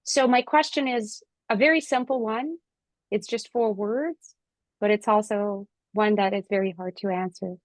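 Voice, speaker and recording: A woman chairing a question-and-answer session, posing questions in a measured, deliberate way. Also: mid-range voice, no echo. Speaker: moderator.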